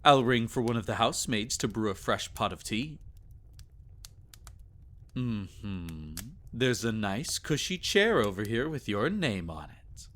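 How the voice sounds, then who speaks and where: A man voices a vampire lord in a romantic audio roleplay, doting and a little possessive. His voice sits on the low side and moves very slowly. He speaks intimately, teasing his beloved with soft chuckles and sighs. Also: full character voice. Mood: teasing, flirtatious.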